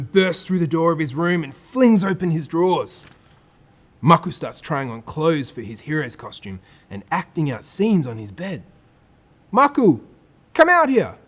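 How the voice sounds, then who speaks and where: A man with an Australian accent presenting and reading a children's story, with expressive phrasing aimed at young listeners. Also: fairly high pitch.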